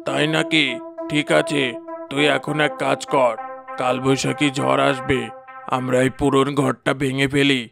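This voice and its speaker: A man's voice: mid-range voice, level tone, takes it slowly, creaky, music in the background. A man voicing a greedy, bossy village headman in a cartoon. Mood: greedy, commanding.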